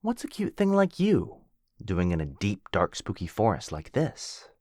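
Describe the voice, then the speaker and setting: A man's voice: lowish voice, wildly swooping pitch, unhurried, a little mellow, no echo, clean studio sound, heavily acted. Otto, a man voicing a cheesy flirty werewolf. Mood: playful.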